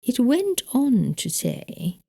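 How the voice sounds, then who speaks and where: Female narrator as prim older lady, British accent, indignant, precise, emphatic, mellow timbre, audiobook dialogue. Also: fairly high pitch, huge pitch swings, slow pace, gravelly, voice pushed up, full character voice. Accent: British English.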